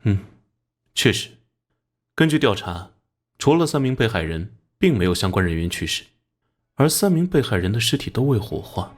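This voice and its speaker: A man playing a stern, level-headed police investigator in an animated drama, speaking in a measured, official, questioning way. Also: lowish voice. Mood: firm, reasoned.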